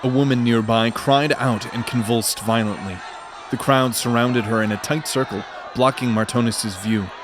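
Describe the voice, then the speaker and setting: A man narrating a scripted fantasy audio drama in a measured, dramatic storytelling manner. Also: medium pitch, steady tone, crowd noise, background noise.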